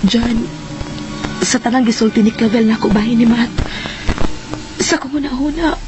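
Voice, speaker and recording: A woman's voice: a little high, moderate pace, over music, lightly acted. An actress playing an earnest, anxious woman confiding in someone in a radio drama, with emphatic delivery. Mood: anxious, urgent.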